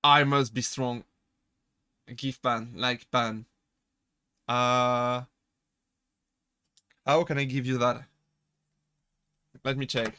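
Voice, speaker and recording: A man's voice: medium pitch, little pitch movement, very slow, pauses often, bright, forceful tone, clean tone, no room sound, clean studio sound. A young man from France with a French accent, chatting casually with his live-stream chat, laughing often and restarting his sentences as he jokes. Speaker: VTuber streamer.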